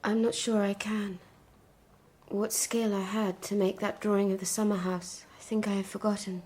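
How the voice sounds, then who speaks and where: A woman acting a broken young lady, very monotone, muted dark tone, soft, breathy, hesitant, Victorian drama. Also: mid-range voice, normal speed, natural voice. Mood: dazed, timid. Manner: hesitant, subdued. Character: fragile, clouded, broken young Victorian gentlewoman.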